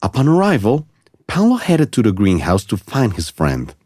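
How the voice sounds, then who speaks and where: A man narrating a children's story from a script in an expressive storytelling manner. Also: fairly low pitch, moderate pace, no echo, clean studio sound.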